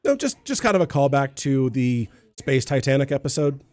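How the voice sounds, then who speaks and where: A man's voice: medium pitch, sing-song, normal speed, a little mellow, dry recording. An American man co-hosting a casual discussion, explaining details and trivia in a knowledgeable way. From United States. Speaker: podcast co-host. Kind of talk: casual explanatory chat about a TV show.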